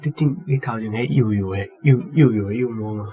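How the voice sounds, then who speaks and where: A man's voice: fairly low pitch, brisk, highs cut off. A man with a Taiwanese accent casually and tentatively discussing answers as a quiz contestant.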